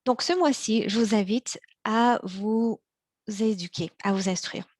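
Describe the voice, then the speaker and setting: A young woman born in China and raised in Montreal, giving a prepared practice speech in a measured way, slowing on key words, with small restarts. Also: medium pitch, a little mellow, no room sound, studio quality. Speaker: practice speaker for interpreters.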